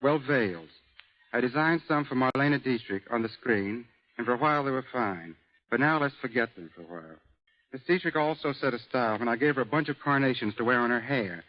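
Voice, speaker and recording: A man speaking as a guest expert on a radio show, measured and matter-of-fact, reading scripted answers, on a dull old broadcast recording. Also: mid-range voice, animated.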